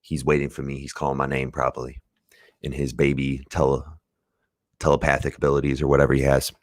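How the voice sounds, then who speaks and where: A young man with a raspy voice, chatting casually as host of a comics livestream, rambling and laid-back with some swearing. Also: a bass voice, completely flat delivery, brisk, no echo, studio quality. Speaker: podcast host.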